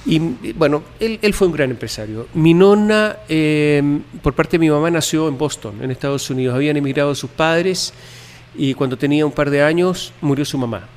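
A man, a guest telling his family history in an interview, pausing often with fillers and restarts. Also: fairly high pitch, long reverb tail. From Chile. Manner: hesitant, many fillers and restarts.

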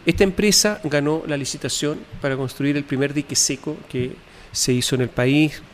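A man's voice: a little high, moderate pace, big-room sound, a little hiss or hum. Hesitant man recounting family history. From Chile. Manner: hesitant, many fillers and restarts. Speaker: guest. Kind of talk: telling family history in an interview.

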